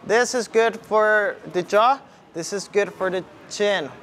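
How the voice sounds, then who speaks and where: A man's voice: sits high, slow, a bit bright. A young man working as a kickboxing coach, giving step-by-step instruction while demonstrating punches. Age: young adult. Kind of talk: instructional demonstration with short cues. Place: large indoor MMA gym. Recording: clearly audible background ambience noise.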